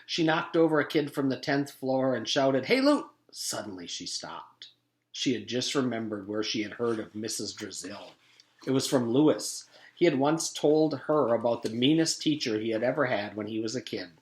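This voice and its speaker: A man's voice: mid-range voice, lively intonation, moderate pace. A man, a teacher, reading a children's story aloud as scripted narration. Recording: echoey room.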